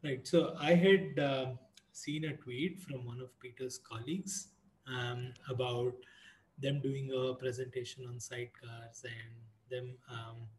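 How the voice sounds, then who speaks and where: A man's voice: mid-range voice, flat delivery, a little slow, poor audio. A man hosting an online community call in a casual, informal and somewhat hesitant, rambling way. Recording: online call with clear background noise.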